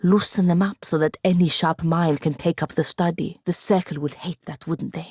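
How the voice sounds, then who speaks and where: An actress playing a sardonic, ambitious young female wizard apprentice in a fantasy video game, with a dry, haughty, precise and sharp-tongued delivery. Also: lowish voice, fairly even intonation, moderate pace, slightly rough, no room sound. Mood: earnest, wry. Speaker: video game companion character. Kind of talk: scripted fantasy game dialogue.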